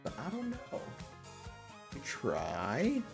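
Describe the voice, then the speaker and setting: A man's voice: on the high side, huge pitch swings, very slow, gravelly, music in the background. A man streaming in a casual, rambling way, thinking aloud and putting himself down. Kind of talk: casual livestream chat.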